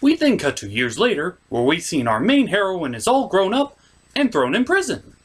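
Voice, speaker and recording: A comedy host narrates a scripted movie recap in a theatrical manner. The delivery is exaggerated, with pitch that swings widely from phrase to phrase. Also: wildly swooping pitch, normal speed, a little hiss or hum.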